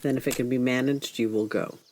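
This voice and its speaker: A wise, low-voiced mother. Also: steady tone, brisk, a little mellow, slight background noise. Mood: calm, concerned. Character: wise, calm middle-aged mother. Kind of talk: audio play acting of a period novel.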